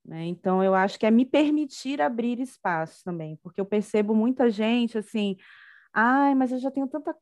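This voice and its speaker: A woman's voice: a little high, normal speed, darkish tone, dry recording. A middle-aged woman from Bahia with a Brazilian accent, chatting casually as an interview guest. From Salvador, Bahia, Brazil. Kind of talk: casual chat.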